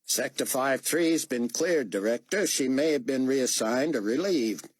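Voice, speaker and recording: A man voicing a brisk, businesslike male crowd control officer in an audiobook. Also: medium pitch, expressive, slightly rough, no echo, pitched above the performer's own voice.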